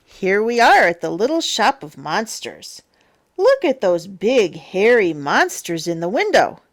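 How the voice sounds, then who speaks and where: A woman reading a children's picture book aloud as a storyteller, in a theatrical, playful, emphatic way with drawn-out words. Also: medium pitch, big pitch swings. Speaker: storyteller, teacher.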